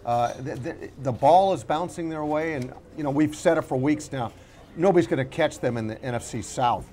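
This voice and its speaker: A man's voice: fairly high pitch, moderate pace, mellow, a little hiss or hum. A man giving conversational sports commentary as a panelist on a talk show. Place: live remote broadcast from a local venue.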